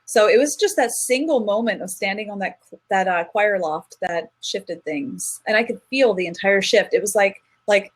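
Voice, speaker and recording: A woman's voice: medium pitch, normal speed, hardly any pauses. A woman speaking as a guest in an interview, telling a personal story in an earnest, reflective way with frequent restarts. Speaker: guest, singer.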